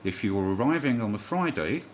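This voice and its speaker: A man's voice: on the low side, expressive, a little fast. A man reading a scripted amateur radio news bulletin as a newsreader, with the occasional slip. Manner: spells out callsigns in phonetic alphabet, occasional slips. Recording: clearly noisy shortwave radio broadcast.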